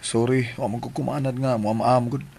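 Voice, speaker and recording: An actor with a Cebuano Filipino accent and a husky voice playing an exasperated but apologetic, teasing sweetheart in a radio drama. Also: male voice, medium pitch, little pitch movement, normal speed, darkish tone, slight background noise, close to an everyday voice. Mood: firm.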